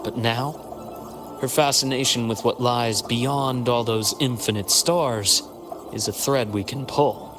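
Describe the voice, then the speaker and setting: An actor playing a shrewd, secretive investigator in a game, speaking in a measured, deliberate and articulate way. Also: male voice, medium pitch, fairly even intonation, music in the background, natural voice. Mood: measured, advising. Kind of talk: scripted game dialogue.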